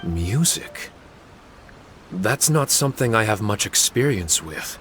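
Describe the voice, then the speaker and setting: A man's voice: fairly low pitch, animated, slow, fairly bright tone, slight background noise. A man voicing a humble, earnest spirit guardian in a video game, with a formal, apologetic way of speaking. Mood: humble, accepting. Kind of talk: English video game voice acting. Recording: music behind the voice.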